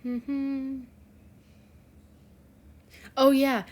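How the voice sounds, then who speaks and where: A woman's voice: high voice, little pitch movement, extremely slow, a little mellow. Chatty rambling teen girl. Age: teens. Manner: rambling, chatty, many fillers and self-corrections. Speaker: fanfiction channel host. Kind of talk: casual chat to viewers.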